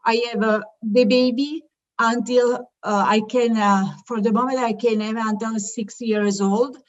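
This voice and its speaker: Halting middle-aged woman. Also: a little high, fairly even intonation, lo-fi sound. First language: not English. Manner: halting, searching for words in non-native English. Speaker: childcare founder presenting her business. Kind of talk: informal business presentation.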